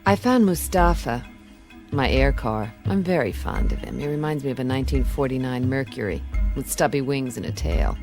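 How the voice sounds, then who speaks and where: Wry, low-voiced space detective. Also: female voice, animated, normal speed. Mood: narrating. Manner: dry, sardonic, clipped. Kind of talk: radio play acting, first-person noir narration. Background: music.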